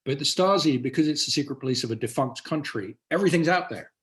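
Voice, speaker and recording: A man's voice: fairly high pitch, animated, fast. A male author giving a talk about his book in an anecdotal, explanatory style. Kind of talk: presenting to an audience, anecdotal.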